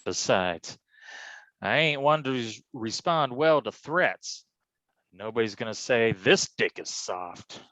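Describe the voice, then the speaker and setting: A man playing a brash, bright-voiced private eye. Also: mid-range voice, lively intonation, slow, no room sound, low-quality recording. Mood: determined, wry. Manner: slangy, folksy tough-guy talk peppered with "huh?". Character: wisecracking, stubborn male private detective, loyal and brash. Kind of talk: hardboiled detective stage acting.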